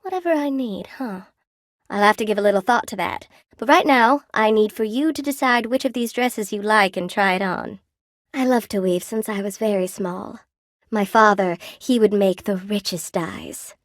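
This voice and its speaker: An actress voicing a gentle, lonely spider-woman shopkeeper in a video game, polite and sweetly attentive, with old-fashioned genteel phrasing. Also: medium pitch, moderate pace, no room sound, clean studio sound, natural voice. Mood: soft, reflective. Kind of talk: video game character voice acting.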